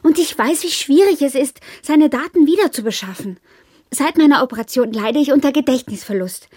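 An actress playing an earnest, probing wife with memory loss in a modern radio drama, speaking in measured, matter-of-fact, formal sentences. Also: female voice, high voice, lively intonation, warm tone, no room sound, clean studio sound. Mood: insistent. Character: amnesiac wife and job seeker, earnest, probing, persistent.

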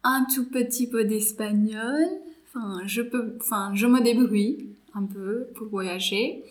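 A woman in her twenties from India, a native Marathi speaker, talking in a relaxed, hesitant way with many pauses as she thinks aloud about her studies and her mentoring. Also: fairly high pitch. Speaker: psychology master's student and volunteer mentor. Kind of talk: casual interview chat.

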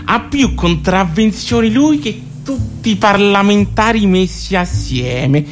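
A mocking storyteller in a comic radio play. The delivery is theatrical and emphatic, with pitch that swings widely. Music plays clearly behind the voice. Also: lively intonation, slow pace. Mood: gleeful, mocking.

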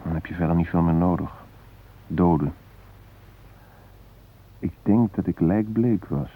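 An actor playing a shaken former hostage, retelling a brush with death in an intense, introspective radio drama monologue. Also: male voice, low voice, a little slow, husky, exaggerated voice. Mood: tense, haunted. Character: shaken male former train hostage recounting facing death. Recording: muffled, narrow-band old radio recording.

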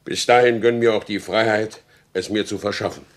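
An actor playing a proud, stubborn, wronged horse dealer in a radio drama, speaking in formal, insistent old-fashioned language. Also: male voice, fairly low pitch, fairly even intonation, normal speed, lightly acted. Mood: bitter, defiant.

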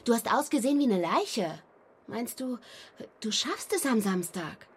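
A woman's voice: a little high, sing-song, unhurried, husky, heavily acted. An actress playing a caring, no-nonsense young female friend in a radio drama, practical and blunt in manner. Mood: blunt, probing.

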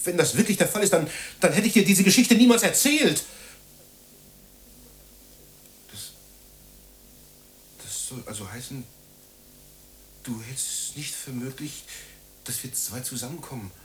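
An actor in a German radio drama playing a needy, self-pitying man who rambles haltingly and repeats himself. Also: fairly high pitch, slight background noise, natural voice, rough recording. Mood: hurt, pleading.

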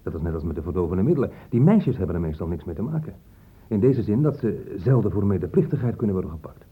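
Husky low-voiced police inspector. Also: male voice, sing-song, quick pace, full character voice. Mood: matter-of-fact. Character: male police inspector, dry, worldly, sceptical. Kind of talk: Dutch radio play acting. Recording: muffled, dull old radio recording.

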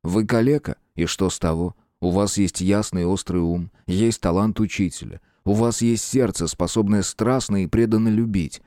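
A man reading a composed, stern male investigator in an audiobook, measured, firm and unsentimental. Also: lowish voice, dark tone, no echo, studio quality, natural voice. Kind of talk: dramatic audiobook character reading.

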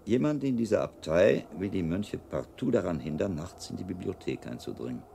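A calm, analytical monk investigator in a radio play. His voice is low, dark and gravelly, that of a shrewd mentor thinking aloud. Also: normal speed, a little hiss or hum. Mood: pensive, probing. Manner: deliberating, analytical. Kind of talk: radio drama acting.

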